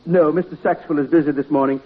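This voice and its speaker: Man acting a stiff deadpan chauffeur, tinny radio. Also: on the high side, quick pace, lightly acted. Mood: formal, deadpan. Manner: clipped, formal, deadpan. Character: stiff, dutiful, very formal male chauffeur. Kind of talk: 1950s American radio comedy acting. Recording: tinny, slightly noisy 1950s radio.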